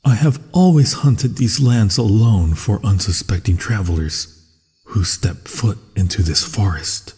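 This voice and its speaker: A man's voice: lowish voice, measured pace, dark tone, slightly rough, heavily acted. A man voicing a hungry, possessive werewolf in an ASMR fantasy roleplay, savoring his words seductively and often slipping into whispers. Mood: hungry, teasing. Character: hungry, possessive male werewolf, menacing yet infatuated. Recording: long cavernous reverb.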